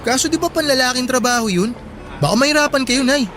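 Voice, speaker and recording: Dutiful student son for radio drama: high-pitched, gentle, earnest, deferential. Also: male voice, fast talker, slight background noise. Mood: curious, concerned. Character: respectful, caring college-student son, earnest and worried. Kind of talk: Filipino radio drama acting.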